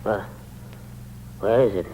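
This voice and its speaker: An actor playing a shrewd, calm blind old uncle in a 1950s radio drama, speaking slowly and probingly with a quiet firmness. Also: male voice, on the low side, dull audio. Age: older. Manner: slow, probing, quietly firm. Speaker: elderly uncle. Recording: old radio broadcast with faint hum.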